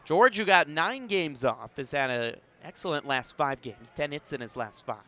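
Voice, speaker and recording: Higher-voiced man calling baseball on radio. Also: lively intonation, normal speed, bright, forceful tone. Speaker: baseball radio play-by-play announcer. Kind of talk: live play-by-play commentary. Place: outdoors at a ballpark.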